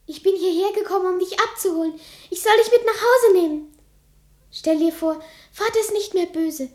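A woman's voice: very high voice, moderate pace. A woman playing a tender, joyful little sister, a young girl, in an echoey 1960s German radio drama. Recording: echoey, distant memory sound.

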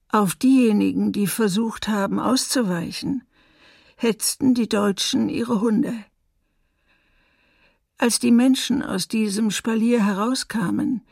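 An actress playing a grave woman survivor giving measured testimony in a documentary radio play. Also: on the high side, vocal fry, a little mellow, no room sound, clean studio sound, full character voice.

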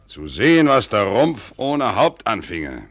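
An actor playing a grave, shrewd, wary prince in a classical German radio drama, with deliberate, weighty declamation. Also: male voice, fairly low pitch, sing-song, fairly bright tone, no echo, full character voice. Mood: probing, measured.

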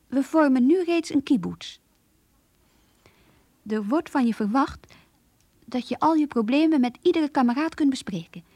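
An actress playing an earnest, idealistic young woman who reads her diary in a radio play, with a breathy, intimate, measured delivery. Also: a little high, dark tone, no room sound.

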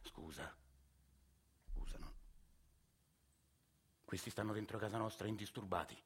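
A man, narrating and acting his own story in a radio theatre monologue, soft-spoken and hesitant. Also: on the low side, unhurried, husky. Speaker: narrator and lead. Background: music.

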